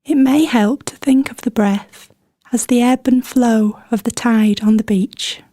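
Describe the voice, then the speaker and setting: Mellow woman guiding a meditation. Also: fairly high pitch, dry recording, studio quality. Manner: soothing, gentle, unhurried pauses between phrases. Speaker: meditation guide. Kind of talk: guided body scan meditation, scripted instruction.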